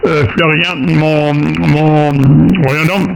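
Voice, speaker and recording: A man's voice: a little high, level tone, extremely slow, crowd noise. A man with a Quebec French accent, chatting casually and in a rambling, colloquial way as an amateur radio operator. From Quebec, Canada. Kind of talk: casual radio contact chat. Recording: clearly noisy amateur radio contact recording.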